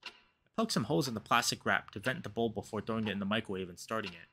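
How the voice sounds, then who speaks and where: A man's voice: fairly low pitch, rapid-fire, slight background noise. A man hosting a playthrough, expressively reading a visual novel aloud and reacting to it with commentary. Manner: animated, reacts aloud to the story. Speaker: let's play host, reader.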